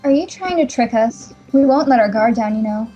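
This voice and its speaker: A blunt, wary young princess played by a woman in a fantasy game dub. Her voice is high and rather husky, on a dull microphone. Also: expressive, fairly quick, dark tone. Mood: suspicious. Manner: blunt, questioning. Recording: dull microphone, faint music behind.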